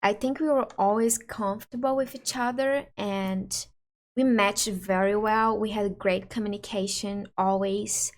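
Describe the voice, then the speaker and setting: A woman's voice: medium pitch, steady tone, unhurried. Woman chatting with husband. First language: Portuguese. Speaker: channel host. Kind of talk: casual couple Q&A chat.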